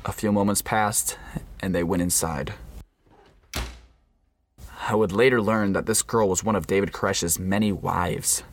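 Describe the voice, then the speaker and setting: A man playing an uneasy undercover federal agent in a scripted radio play, with a measured, solemn delivery. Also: deep voice, husky. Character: undercover male FBI agent narrating a compound infiltration, uneasy. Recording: faint background noise.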